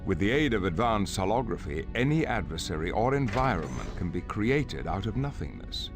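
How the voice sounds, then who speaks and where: Deep calm older headmaster guy, formal and measured, game score behind him. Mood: instructive. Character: calm older male mentor leading a hero academy. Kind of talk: video game cutscene acting. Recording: music behind the voice.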